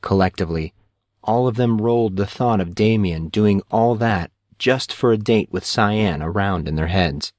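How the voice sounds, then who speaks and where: Male narrator for fantasy fiction, scripted read, lower register, very dry recording. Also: moderate pace, darkish tone, dry recording.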